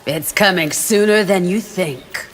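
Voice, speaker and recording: An actress playing a resolute, stern royal guard warrior in a fighting video game. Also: female voice, fairly low pitch, unhurried, heavy background noise, lightly acted. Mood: threatening. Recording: loud music behind the voice.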